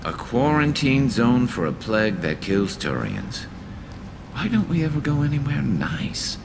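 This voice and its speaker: A man's voice: mid-range voice, big pitch swings, measured pace, creaky, background noise. An actor voicing a wry, dry-humoured male alien soldier in a sci-fi video game. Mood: wry, stoic.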